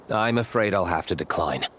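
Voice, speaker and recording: A man voicing a proud, courteous winery owner in a video game, speaking in a measured, dry, formal way. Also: deep voice, background noise. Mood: reserved, polite. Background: music.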